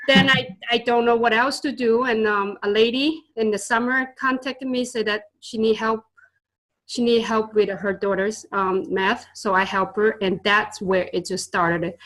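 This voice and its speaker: A woman's voice: a little high, steady tone, measured pace, fairly bright tone, poor audio. Earnest woman. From born in Vietnam, raised in China. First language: Chinese and Vietnamese. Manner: earnest, with many fillers and a non-native grammar. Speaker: guest, tutor and business owner. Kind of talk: casual interview storytelling.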